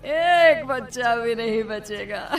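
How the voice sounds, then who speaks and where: A woman's voice: fairly high pitch, animated, slow, soft background music. A woman voicing a wicked, gloating witch who threatens children with cackles in a horror cartoon. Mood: gloating, menacing.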